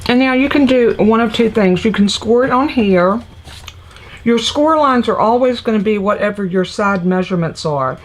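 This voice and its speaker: A woman's voice: medium pitch, expressive, moderate pace. A woman with a scratchy, congested voice, chattily explaining a papercraft project step by step as she works. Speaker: papercraft demonstrator. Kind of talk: live crafting tutorial.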